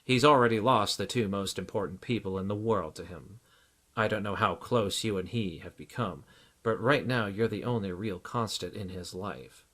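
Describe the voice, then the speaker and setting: A man voicing a stout psychiatrist in an audiobook, grave and solicitous, with an earnest, deliberate, clinical manner. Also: on the low side, a bit bright. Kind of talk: audiobook character acting.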